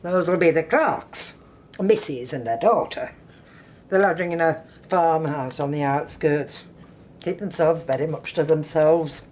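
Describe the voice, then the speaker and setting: A woman's voice: sits low, darkish tone, slight background noise. An actress playing a bustling, middle-aged country innkeeper in a period audio drama, homely, chatty and motherly in her scolding. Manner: homely, brisk, teasing.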